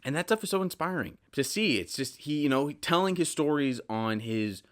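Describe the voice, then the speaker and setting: A man's voice: fairly high pitch, expressive, moderate pace, a bit bright. A young American man hosting a podcast solo, talking casually in a rambling way and acting out examples with animation. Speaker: podcast host.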